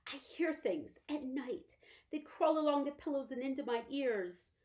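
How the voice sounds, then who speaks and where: A woman voicing a fragile, erratic, imperious wealthy mother who is bedridden. She speaks in a languid, drawn-out way that flares into shrill outbursts. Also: sing-song. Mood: frightened.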